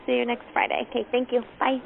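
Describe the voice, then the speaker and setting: Through a narrow, faintly noisy phone line comes a woman's high, creaky voice. She speaks in short, polite phrases as restaurant staff taking a booking. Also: completely flat delivery, quick pace. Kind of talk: brief polite phone conversation.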